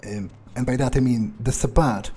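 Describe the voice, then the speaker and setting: A man's voice: medium pitch, moderate pace, mellow, breathy edge, lightly acted. A man improvising in character as a cocky, callous vampire while playing a video game, drawling and scornful. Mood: callous, musing. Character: cocky, callous male vampire roleplayed by a gamer. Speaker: gamer roleplaying in a playthrough.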